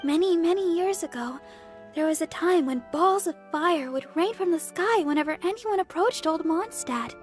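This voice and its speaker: Sweet helpful young church girl in a video game, high voice, polite, faint music. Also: lively intonation, moderate pace. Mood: thoughtful. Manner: polite, bubbly, thinks aloud. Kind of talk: video game character acting.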